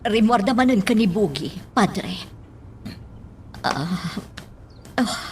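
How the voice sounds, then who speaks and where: A woman's voice: medium pitch, animated, takes it slowly, slight background noise. An actress playing a worried lady mayor in a radio drama, earnest and anxious as she confides. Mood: worried, confiding. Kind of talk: Ilocano radio drama acting.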